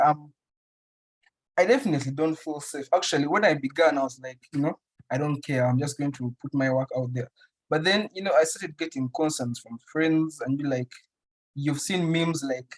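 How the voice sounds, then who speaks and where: A young man from Uganda answers questions in an interview. His voice sits at a middle pitch, and he pauses often, restarting his sentences as he thinks aloud. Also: low-quality recording. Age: young adult. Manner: thoughtful, many restarts and fillers. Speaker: interview guest, writer.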